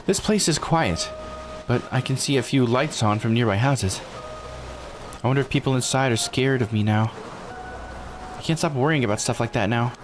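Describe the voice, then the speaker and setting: A man voicing a pensive, uneasy young woman, the protagonist of a visual novel, in a measured inner monologue. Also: mid-range voice, voice pushed up, natural voice. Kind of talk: reading visual novel dialogue aloud in character. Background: game music.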